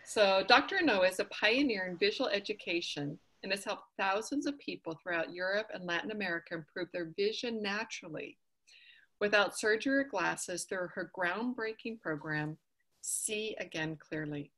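A woman's voice: mid-range voice, moderate pace, fairly bright tone, poor audio. A woman with a mid-range voice hosts an online event, introducing her guest in effusive, enthusiastic terms. She is heard over a video call. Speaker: host. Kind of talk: online summit interview.

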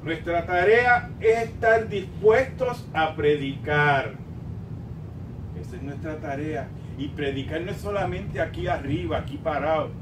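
An older man with a Puerto Rican accent preaching a sermon emphatically, swinging between drawn-out emphasis and quieter asides. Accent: Puerto Rican Spanish. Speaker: pastor. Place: small church sanctuary, indoors at a pulpit. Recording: roomy, distant mic, rumbling background noise.

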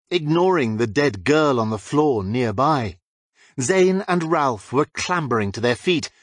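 A man narrates fiction in a dramatic audiobook style. His voice is husky and moves widely in pitch. The sound is close and dry, with no room on it. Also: no room sound.

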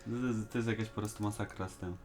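Low-voiced man chatting casually. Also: steady tone, racing, a little mellow. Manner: colloquial, mildly slangy. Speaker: host of a fan discussion show. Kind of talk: casual chat reviewing an animated series.

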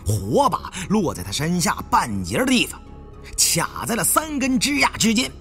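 A man narrating an adventure story in a dramatic, expressive storytelling manner. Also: sits high, moderate pace, faint music behind. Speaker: audiobook narrator.

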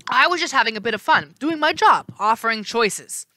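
A woman's voice: mid-range voice, animated, a little fast, pushed and bright, no echo. A woman reading aloud, voicing a two-faced male god of doorways who mocks and bickers with himself. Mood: bickering. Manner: teasing, sneering, squabbling with himself.

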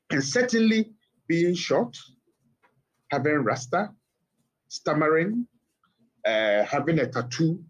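Husky Ghanaian man, phoned-in commentary. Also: fairly high pitch, low-quality recording. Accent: Ghanaian English. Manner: measured, emphatic rhetorical questions. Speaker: academic guest on phone. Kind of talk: TV interview commentary. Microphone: phone line.

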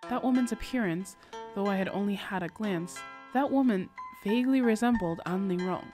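A woman's voice: mid-range voice, moderate pace, mellow, soft background music, lightly acted. A woman reading a novel passage aloud in the first person as an anxious young noblewoman. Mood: uneasy.

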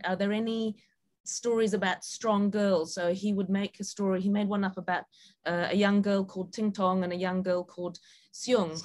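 A woman's voice: mid-range voice, darkish tone. A woman with a broad New Zealand accent giving an informal presentation as a guest speaker, casual and a little hesitant. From New Zealand and Sabah, Malaysia. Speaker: guest speaker, film director.

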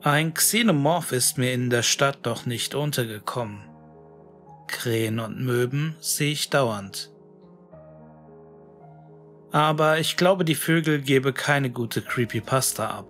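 A man narrating a scripted horror monologue in a musing, deliberate, brooding manner with dramatic pauses. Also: medium pitch, fairly bright tone. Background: music.

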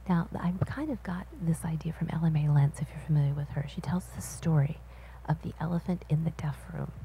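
A woman's voice: deep voice, dark tone, a little hiss or hum, rough recording. A woman voicing a signed panel talk as an interpreter, explaining and asking the audience questions at an auditorium panel.

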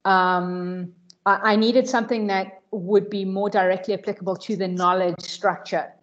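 A woman presenter answers seminar questions over a video call, pausing and restarting as she works through her thoughts. Her voice sits at a middle pitch. The sound is slightly dull. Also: steady tone. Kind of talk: academic Q&A answer.

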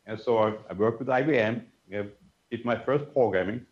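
A man's voice: medium pitch, normal speed. An older man from Germany with a German accent, giving a memorial lecture to an audience in a conference room, telling personal stories in a conversational way, full of "you know" and "yeah". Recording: roomy, slightly dull lecture room sound.

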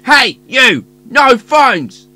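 An actor playing a gruff, bossy duck guard in a radio drama. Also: high-pitched, very animated, extremely slow, bright, forceful tone, a little hiss or hum, full character voice. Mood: angry.

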